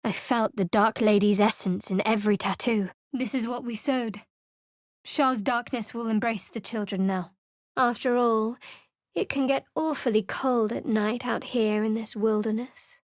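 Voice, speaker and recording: Actress for a guarded female cleric, wry and cool, slightly high voice, dry studio read. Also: fairly even intonation, a little slow, no echo, lightly acted. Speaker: game character. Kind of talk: video game voice acting. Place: studio booth. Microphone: studio mic.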